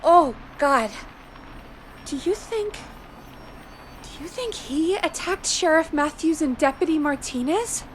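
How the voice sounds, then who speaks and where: An actress voicing a steady, supportive radio producer in a horror video game, earnest and encouraging. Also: female voice, sits high, takes it slowly, pauses often, slight background noise, rough recording. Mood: alarmed.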